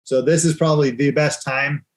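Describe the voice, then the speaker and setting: A man's voice: a little high, steady tone, brisk. A man hosting a live currency trading room, explaining chart moves in a casual, joking way and laughing often.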